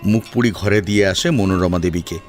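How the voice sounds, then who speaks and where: A man's voice: low-pitched, brisk, faint music behind. A man narrating a scripted family drama as a storyteller, in a dramatic audio-story style.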